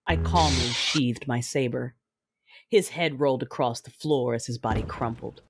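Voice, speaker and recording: Woman acting a cold, low-voiced vengeful Sith lord. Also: a little hiss or hum. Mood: cold menace. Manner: controlled, menacing, deliberate. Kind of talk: first-person audio drama narration.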